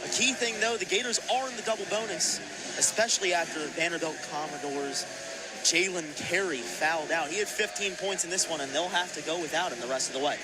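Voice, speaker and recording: A young man calling basketball play-by-play on the radio in clipped, excitable phrases. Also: on the high side, brisk. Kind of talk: live sports commentary. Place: courtside in an indoor basketball arena. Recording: loud arena ambience and noise.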